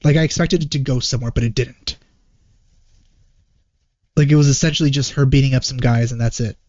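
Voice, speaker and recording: A man giving casual reaction commentary as a host, hesitant and rambling as he thinks out loud. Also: medium pitch, fairly even intonation, fairly quick, dry recording. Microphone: wrong microphone, close and dry. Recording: dull, muffled lo-fi microphone.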